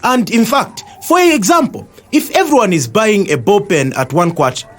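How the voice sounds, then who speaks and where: A man's voice: sits high, lively intonation, moderate pace. A man acting an earnest, indignant citizen with a Zambian accent who explains things emphatically in a scripted educational audio drama. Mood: emphatic.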